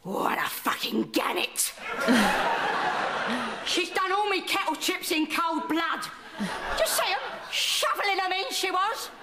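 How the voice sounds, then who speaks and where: A woman's voice: very high-pitched, animated, slow, pauses often, very noisy, full character voice. A woman playing a foul-mouthed elderly grandmother, who fawns over people to their faces and curses them behind their backs. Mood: scornful. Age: older. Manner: sweetly fawning to faces, cursing behind backs. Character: foul-mouthed elderly grandmother, two-faced and sharp-tongued. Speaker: sitcom character. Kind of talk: sketch comedy acting.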